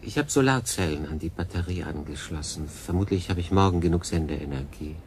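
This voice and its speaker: An actor playing a stranded solo sailor in a radio drama, wry, curious and plain-spoken. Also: male voice, sits low, brisk, darkish tone, slight background noise, natural voice. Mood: wry, matter-of-fact.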